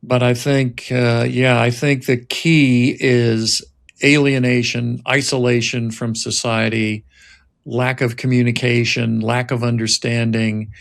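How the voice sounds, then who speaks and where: Slow-paced man, deliberate delivery. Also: medium pitch, level tone, gravelly. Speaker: writer-director and podcast host. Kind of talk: scripted narration and reflective talk.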